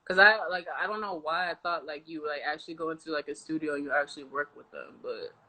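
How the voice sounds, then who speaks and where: A woman's voice: low voice, fast talker, bright, forceful tone, rough recording. An American woman hosting an interview show, talking casually and informally with some slang. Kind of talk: casual chat.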